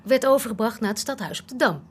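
A woman's voice: a little high, expressive, quick pace, breathy edge. A woman playing a sensible, affectionate young student and girlfriend in a radio drama. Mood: reasonable, explaining. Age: young adult.

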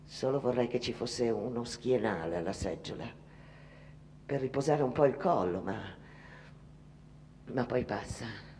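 A woman's voice: rumbling low, animated, measured pace, pauses often, mellow. Timid young factory girl, echoing hall. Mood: earnest, accepting. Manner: hesitant, earnest, confiding in her mother. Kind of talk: theatrical radio monologue. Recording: long hall reverb, faint noise.

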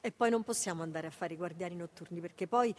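A woman's voice: lowish voice, quick pace, slight background noise, lightly acted. An actress playing a hard-up, proud mother humouring her son in a radio play, with a colloquial Neapolitan accent and emphatic repetition. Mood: hushed, doubtful. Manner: colloquial, repeating words for emphasis. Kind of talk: radio theatre acting.

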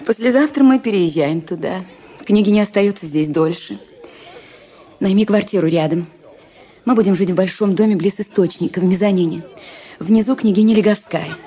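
A woman's voice: medium pitch, normal speed, warm tone, breathy edge, a faint crowd, lightly acted. An actress playing a jealous, lovesick society woman in a Russian radio drama, intimate and pleading.

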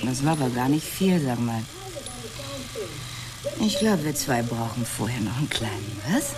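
A woman's voice: very deep voice, expressive, normal speed, over a noisy crowd. A woman acting in a German radio play as an easygoing, coaxing lover, teasing and offhand. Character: tipsy, easygoing, coaxing woman on a casual tryst.

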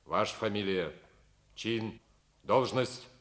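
An actor playing a stern, decisive Soviet fortress commander, curt and commanding, in a 1950s stage drama style. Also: male voice, fairly low pitch, slow, fairly bright tone. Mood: stern, interrogating.

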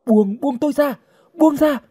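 Audio-story captive heroine played by a man: young woman, high, husky, scared yet defiant. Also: lively intonation, normal speed, mellow, dry recording, studio quality. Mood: terrified, pleading.

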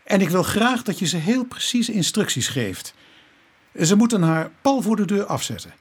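An actor playing a courteous, methodical police inspector in a Dutch radio play, with a probing, matter-of-fact manner. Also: male voice, high-pitched, sing-song, moderate pace, husky, exaggerated voice. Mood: brisk instructing.

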